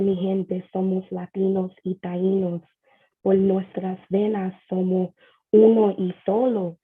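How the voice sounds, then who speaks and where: A woman's voice: medium pitch, breathy edge. A nervous young woman performing a spoken word poem at an online open mic, earnest and mixing in Spanish lines. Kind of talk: spoken word poetry reading. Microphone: video call. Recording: tinny lo-fi video call audio.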